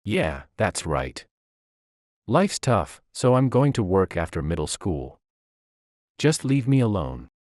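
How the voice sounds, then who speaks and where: Guy doing a humble sincere school kid in a story, deep voice, very dry recording. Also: very animated, slow pace, long pauses, a little mellow, no room sound, heavily acted. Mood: resigned. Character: earnest, humble, devoted teenage schoolboy.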